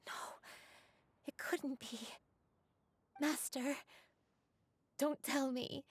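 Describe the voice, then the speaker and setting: A gentle former pupil in a fantasy game, full of regret. Her voice is high and she speaks slowly and earnestly, recorded close and dry. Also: fairly even intonation, no room sound. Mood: shaken, rueful. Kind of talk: scripted game dialogue.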